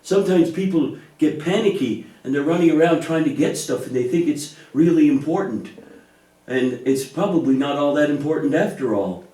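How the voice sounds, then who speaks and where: Mellow, reassuring man in an echoey room. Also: on the high side, lively intonation, moderate pace. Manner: reassuring, patient, explanatory. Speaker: adult partner in a church puppet show. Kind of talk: gentle scripted dialogue teaching children about faith. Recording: echoey room, distant microphone.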